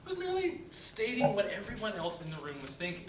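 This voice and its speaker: A man's voice: wildly swooping pitch, fast. A man playing a wry, outspoken office worker in a dramatic reading of a play, speaking in a conversational, emphatic way. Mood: wry, amused. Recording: echoey room, distant mic, rumbling noise.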